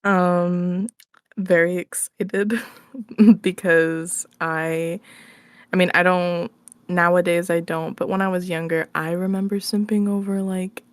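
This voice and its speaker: Giggly young woman, low-pitched, dry sound. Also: flat delivery, takes it slowly, no room sound. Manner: giggly, informal, hedging. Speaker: podcast guest, audio roleplay creator. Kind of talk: casual interview chat.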